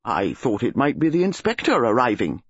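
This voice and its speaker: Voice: mid-range voice, wildly swooping pitch, normal speed, breathy edge, no room sound, dull audio, voice pushed down. A reader voicing Colonel Bantry, a bluff older English colonel who greets his guests heartily.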